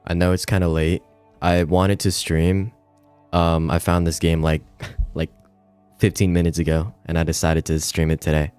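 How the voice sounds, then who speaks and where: A man with a low, smooth voice streams a game in a casual, slangy, somewhat hesitant manner. The sound is close and dry, with music playing underneath. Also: flat delivery, normal speed, a little mellow, dry recording. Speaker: livestreamer. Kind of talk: casual livestream chat, reading game text aloud.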